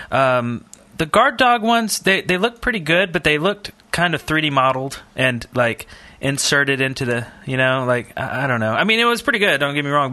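Male podcast co-host, mid-range and bright, very dry sound, loose halting conversational delivery. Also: mid-range voice, no echo. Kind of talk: casual chat, thinking aloud.

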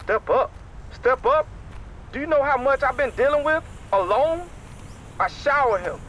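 An actor playing an easygoing, wheedling man who is quick to protest, in a naturalistic audio drama. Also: very high-pitched, lively intonation, a bit bright, a little hiss or hum, full character voice, poor audio. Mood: indignant.

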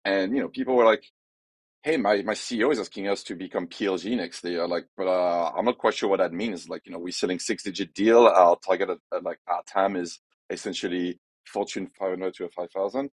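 A man with a French accent and a low voice speaks in a dry, close recording. He gives firm opinions in a casual, rambling way, filling his sentences with "like" and "you know". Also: fairly even intonation, brisk, no room sound. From France. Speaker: podcast guest, head of growth. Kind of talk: casual business conversation.

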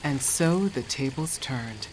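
An actor narrating an audio drama as an autonomous machine intelligence, eerie, wounded and vengeful, speaking in a slow, deliberate, ominous manner. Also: mid-range voice, animated, a little hiss or hum. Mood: ominous calm. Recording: long reverb hall with loud music.

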